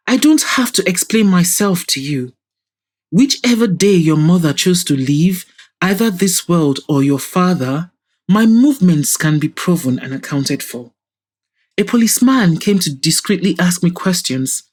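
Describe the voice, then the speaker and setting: A woman's voice: on the low side, lively intonation, unhurried, a little mellow, husky. A woman acts out a middle-aged businessman in an audio drama. He is arrogant and self-righteous, speaking with pompous scorn and a defensive, mocking edge. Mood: scornful, indignant.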